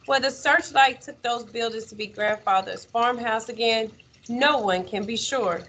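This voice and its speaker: A woman teacher reading a children's story aloud in an expressive, measured way, with dramatic pauses. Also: on the high side.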